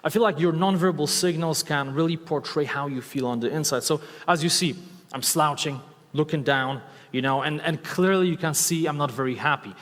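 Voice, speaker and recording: A man from Poland, speaking English as a second language, gives an energetic motivational stage talk and asks the audience questions. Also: on the high side, normal speed. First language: Polish. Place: large hall with audience. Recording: long hall reverb.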